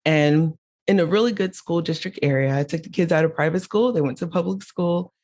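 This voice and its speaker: Low-voiced woman telling her story. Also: brisk, a little mellow. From Haiti, raised in Miami. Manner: candid, laughs while telling her story. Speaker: podcast guest, pharmacist. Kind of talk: casual interview storytelling.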